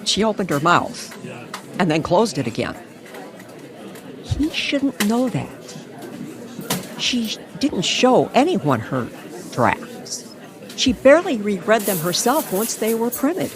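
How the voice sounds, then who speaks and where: Slow, expressive woman storyteller. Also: mid-range voice. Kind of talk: dramatic scripted story narration. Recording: crowd chatter behind the voice.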